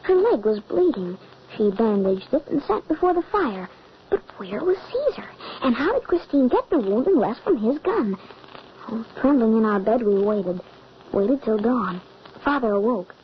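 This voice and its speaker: A woman's voice: on the high side, very animated, normal speed. A woman playing an anxious young boy who narrates a grim family tale in earnest, dramatic old-time radio style. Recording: muffled, noisy old radio recording.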